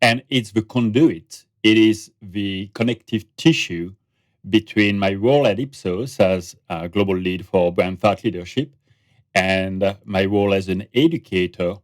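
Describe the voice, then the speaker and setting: A man from France with a French accent, a researcher and author speaking as a guest on an interview podcast. He talks in a measured, reflective way. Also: fairly low pitch, no echo, clean studio sound.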